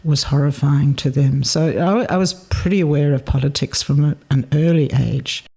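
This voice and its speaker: A woman in her seventies from South Africa, reminiscing in an interview, telling her story in a reflective, measured way with pauses. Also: low voice, steady tone, vocal fry. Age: 70s.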